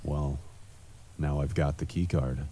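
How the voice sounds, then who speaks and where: A man playing a world-weary, cynical cyberpunk antihero in a deadpan noir monologue for an adventure game. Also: rumbling low, unhurried, noisy background. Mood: wry, cynical. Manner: deadpan, brooding.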